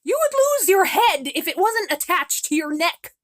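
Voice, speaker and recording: A woman's voice: very high voice, big pitch swings, moderate pace. A woman voicing a sick, tired widowed mother who speaks in a weary, scolding tone.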